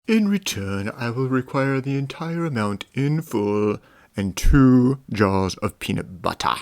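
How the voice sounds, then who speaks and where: A man's voice: mid-range voice, expressive, a little slow. A man voicing an accusing detective in a fan dub, theatrical and dramatic.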